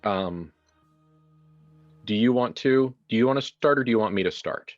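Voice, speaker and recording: A man's voice: mid-range voice, moderate pace, highs cut off, faint music behind. A man hosting a horror roleplaying game in a casual, improvised way, with wry joking asides. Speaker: game master and host.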